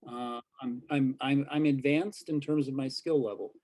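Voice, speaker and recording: A man's voice: mid-range voice, fairly even intonation. A man speaking thoughtfully as a journalist on a panel discussion over a video call. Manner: measured, thoughtful, trails off softly.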